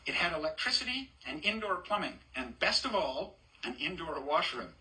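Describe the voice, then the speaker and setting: Bright-voiced older man reading to kids. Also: a little high, sing-song, normal speed, rough recording. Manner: warm, chatty, stops to ask children questions and point at pictures. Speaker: storybook reader. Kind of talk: reading a picture book aloud to children.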